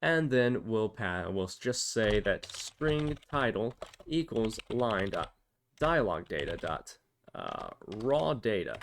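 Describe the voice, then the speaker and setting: A man's voice: on the low side, big pitch swings, slow pace, creaky, a little hiss or hum. A man presenting a casual step-by-step programming tutorial, explaining and thinking aloud as he demonstrates.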